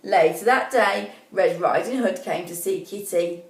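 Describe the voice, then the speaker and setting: A woman reading a children's story aloud expressively and deliberately, as a school storyteller. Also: medium pitch. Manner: deliberate, prompts young listeners with questions. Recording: echoey room, distant microphone.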